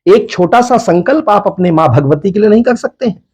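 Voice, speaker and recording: Chhattisgarhi preacher, high voice, echoey and distant. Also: male voice, lively intonation, a little fast, darkish tone. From Chhattisgarh, India. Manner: earnest, direct appeals to the crowd. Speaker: religious preacher. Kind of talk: sermon to a village gathering. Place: village public gathering. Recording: echoey, distant, dull sound.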